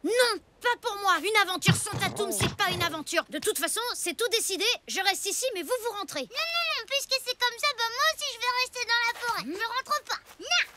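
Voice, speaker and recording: A woman voicing an adventurous, stubborn young boy in a cartoon, talking with lively eagerness. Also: very high voice. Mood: pleading. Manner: lively, eager, quick to protest. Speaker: child hero. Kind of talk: French cartoon voice acting. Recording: music playing loudly underneath.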